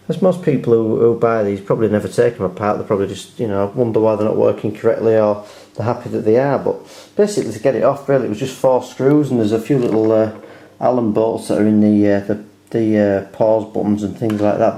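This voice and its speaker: Mellow British man in an echoey room. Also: on the low side. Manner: hesitant, rambling, often repeating words. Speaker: video host showing a repair. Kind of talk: unscripted hands-on demonstration, casual chat. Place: indoors at home. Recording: roomy, echoing indoor home recording.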